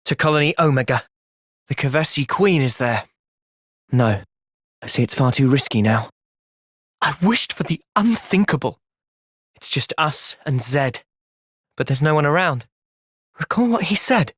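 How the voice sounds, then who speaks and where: A man playing an earnest, gentle, resolute young soldier in video game cutscenes. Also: on the high side, animated, unhurried, long pauses, dry recording, clean studio sound. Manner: earnest, thoughtful. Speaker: voice actor.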